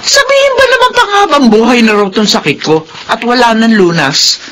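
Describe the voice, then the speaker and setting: A woman's voice: fairly high pitch, sing-song, moderate pace, a bit bright. A woman playing a sick, weary, stubborn mother in a radio drama, plaintive and emphatic. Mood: bitter, stubborn. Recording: faint background noise.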